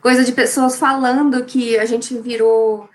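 A woman's voice: a little high, little pitch movement, unhurried. A woman, a science communicator telling a story in a casual interview, gushing and full of fillers.

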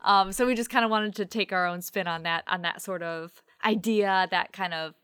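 Clear-voiced woman chatting casually. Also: medium pitch, normal speed, bright, forceful tone, no room sound, clean studio sound. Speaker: audio drama creator, interview guest. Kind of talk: casual conversational interview.

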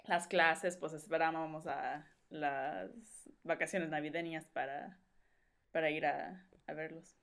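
A woman's voice: sits low, fairly even intonation, takes it slowly, fairly bright tone. A young woman from Mexico, a podcast guest telling her life story in a rambling way full of fillers. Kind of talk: casual conversational storytelling.

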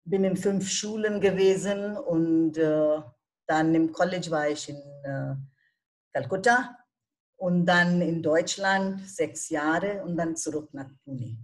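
A woman's voice: fairly low pitch, slow pace. Older Indian woman, German interview guest. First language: Bengali. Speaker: guest, teacher. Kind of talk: podcast interview.

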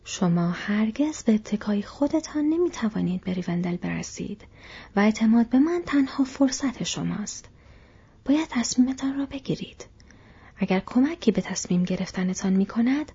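A woman voicing a grim, secretive ranger who gives low, grave warnings. The voice is breathy, close to a whisper, with a dark, mellow tone. The recording sounds dull and lo-fi. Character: grim secretive male ranger, weathered adult.

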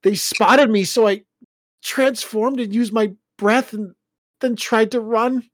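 A woman voicing a wounded young shapeshifter man, crying in pain with a halting, breaking voice. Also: fairly high pitch, animated, fairly bright tone, breathy edge, dry recording, voice pushed up, exaggerated voice. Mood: distraught.

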